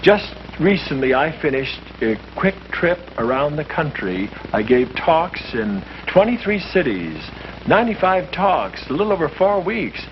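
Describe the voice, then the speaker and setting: A man's voice: fairly high pitch, measured pace, muffled recording, a little hiss or hum. Earnest preacherly man on an old film soundtrack. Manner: earnest, preacherly, lively emphasis. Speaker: priest leading a discussion. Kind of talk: earnest scripted speech in a 1951 short film.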